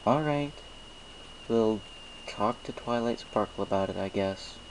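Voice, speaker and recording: A man voicing an anxious, awkward pony outcast who speaks haltingly, in a storybook reading. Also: on the low side, a little hiss or hum, poor audio. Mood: reluctant. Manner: halting, nervous stammering.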